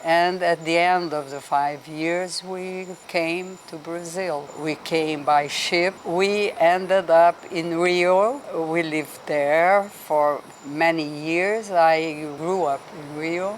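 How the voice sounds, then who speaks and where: A woman, telling her life story in an interview, slowly and carefully, with pauses and restarts. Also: fairly low pitch, steady tone, slight background noise, poor audio. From Austria. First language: Hungarian. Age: 70s. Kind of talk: casual interview. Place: hostel.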